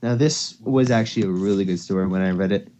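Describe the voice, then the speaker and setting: A man's voice: sits low, quick pace, mellow, muffled recording. Man reading aloud, faintly noisy recording. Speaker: story reader and co-host. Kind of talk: informal fan fiction reading with banter. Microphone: voice call. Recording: noisy home voice call recording.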